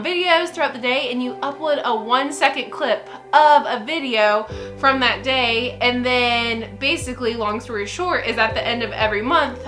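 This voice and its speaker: A woman's voice: a little high, pushed and bright. A woman in her late twenties talking in a chatty, upbeat way in a casual personal vlog, often laughing as she tells her story. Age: 20s. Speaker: vlogger. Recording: music bed under the voice.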